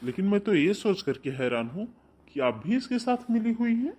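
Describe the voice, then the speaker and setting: Man voices an earnest cartoon groom, husky and gentle. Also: high voice, very animated, normal speed. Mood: defiant. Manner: soft, reassuring. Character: earnest young groom, loyal and sincere.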